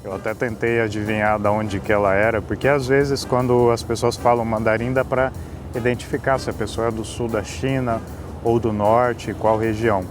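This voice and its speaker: A man's voice: fairly low pitch, flat delivery, brisk, over music. A man narrating his travel vlog in a casual, chatty way. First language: Portuguese. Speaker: travel vlogger.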